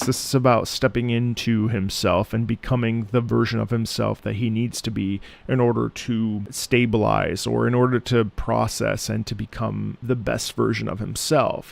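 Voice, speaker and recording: A man's voice: lowish voice, vocal fry. A man giving reflective reaction commentary on music, musing and searching for his words as he goes.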